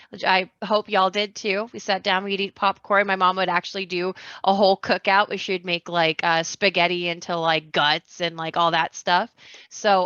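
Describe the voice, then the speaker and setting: Bright-voiced woman chatting on a podcast. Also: medium pitch, clean tone, no echo, lo-fi sound. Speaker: podcast guest. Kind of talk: casual chat.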